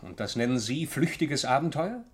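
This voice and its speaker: An actor playing a shrewd, composed male investigator in a German radio crime drama, talking deliberately and probingly. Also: medium pitch, big pitch swings, breathy edge. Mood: coolly accusing. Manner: deliberate, probing, coolly deductive.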